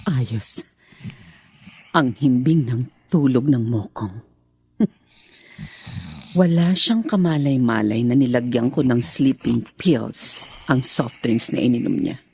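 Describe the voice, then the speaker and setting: A woman's voice: extremely low pitch, slow pace, pauses often, warm tone, husky, full character voice. An actress playing a young woman held captive who pleads and schemes to escape, in a radio drama. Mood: scheming. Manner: pleading and agitated.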